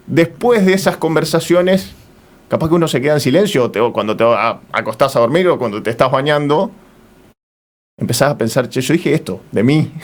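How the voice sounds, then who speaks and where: A man with an Argentine accent speaking as an interview guest, reflective and searching for his words as he goes. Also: fairly high pitch. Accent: Argentine Spanish. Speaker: interview guest, returned traveller.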